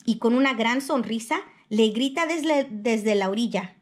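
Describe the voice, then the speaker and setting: A woman with a high voice reads a children's picture book aloud. Her delivery is expressive storybook narration. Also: a little slow.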